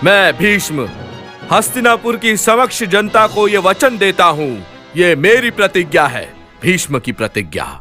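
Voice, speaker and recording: A man's voice: sits high, big pitch swings, measured pace, over music. A man playing a noble, resolute prince in a mythological cartoon, speaking in formal, declamatory lines. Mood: solemn vow. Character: Bhishma, noble resolute prince making solemn vows. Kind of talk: dubbed mythological cartoon acting.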